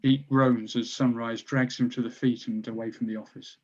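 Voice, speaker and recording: A man's voice: mid-range voice, little pitch movement, normal speed, darkish tone. A slightly muffled, lo-fi recording of a man narrating. He reads screenplay action lines in a mid-range voice, as scripted stage directions.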